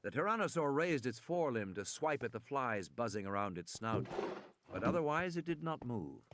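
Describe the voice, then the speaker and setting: Male narrator, mid-pitched, animated intonation, measured dramatic novel reading, background noise with clicks. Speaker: audiobook narrator. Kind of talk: scripted narration of a suspense novel.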